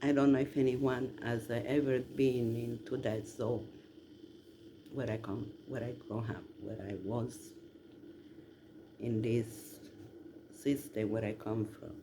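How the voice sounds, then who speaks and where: A man from southern Italy, rambling casually to listeners in a halting, emphatic way. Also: medium pitch, level tone. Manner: halting, drawn-out delivery with emphatic questions. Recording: noticeable background noise.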